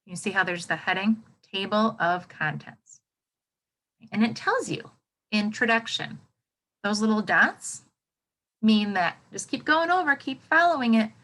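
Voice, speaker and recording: Female elementary teacher, read-aloud for kids: bright tone, lively swooping intonation, instructive, frequent check-in questions. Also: medium pitch, measured pace, rough recording. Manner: expressive, instructive, checks in with "right?". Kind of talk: read-aloud lesson for young children.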